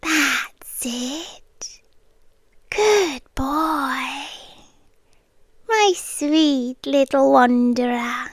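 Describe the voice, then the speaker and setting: A woman voicing a sweetly menacing hive queen in an ASMR roleplay, talking softly and drifting in and out of whispers. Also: high-pitched, no room sound, clean studio sound. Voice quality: soft, slow, drifting between whisper and voice. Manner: coaxing, intimate, quietly threatening.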